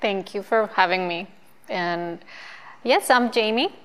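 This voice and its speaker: A woman from Colombia, a nonprofit board member, speaking earnestly and in measured phrases in a community TV interview. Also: mid-range voice, fairly bright tone, clean tone.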